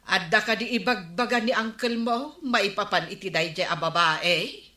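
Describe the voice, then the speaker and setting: A woman's voice: mid-range voice, expressive, a little slow. A woman with an Ilocano accent playing a scolding, worried villager in a radio drama, emphatic and nagging. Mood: probing. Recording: bright, echoey room.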